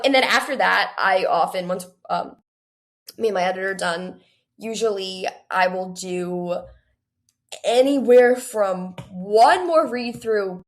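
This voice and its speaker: Creaky-voiced young woman chatting. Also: mid-range voice. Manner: rambling, filler-heavy, peppered with "like" and quoted self-talk. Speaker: guest author. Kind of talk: casual interview chat about writing.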